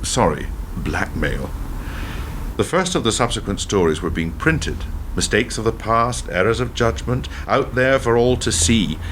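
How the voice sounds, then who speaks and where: A man acting as a defiant, embittered ex-prime minister in a radio play, speaking in a deliberate, rhetorical, self-justifying manner. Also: fairly low pitch, animated, a bit bright, slight background noise. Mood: measured, ominous. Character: defiant, embittered male ex-prime minister telling his story.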